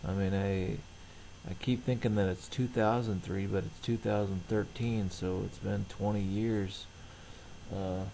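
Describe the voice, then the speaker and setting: A middle-aged man casually narrating everyday tasks in a halting, rambling, plain-spoken way. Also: fairly low pitch, fairly even intonation, gravelly, dark tone, a little hiss or hum, low-quality recording. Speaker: vlogger.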